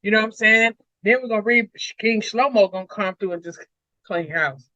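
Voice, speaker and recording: A man's voice: high-pitched, a little fast, pushed and bright, no echo, highs cut off. A man talking casually through scripture as a co-host, emphatic and repeating phrases for weight. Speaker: co-host of a Bible read-along discussion.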